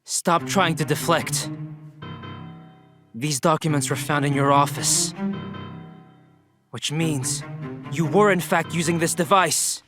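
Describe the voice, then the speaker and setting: Earnest young detective. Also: male voice, on the high side, slow pace, long pauses, music in the background. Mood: accusing, triumphant. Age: young adult. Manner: firm, methodical explaining. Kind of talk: video game cutscene acting.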